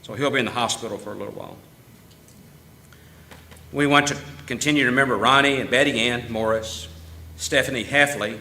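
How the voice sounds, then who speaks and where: A man with a drawl reads out church announcements in a friendly, rambling way. His voice is strikingly bright and keen, and he chuckles often. He sits at an everyday middle pitch. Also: fairly quick. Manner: folksy, chatty, laughs easily, with small restarts. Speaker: church member giving announcements. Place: church meeting room.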